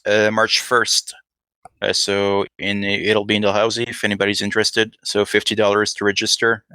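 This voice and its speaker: A man's voice: on the low side, sharp tone, smooth, no echo. A man speaking casually as a guest in a podcast interview. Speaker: podcast guest, gun shop owner.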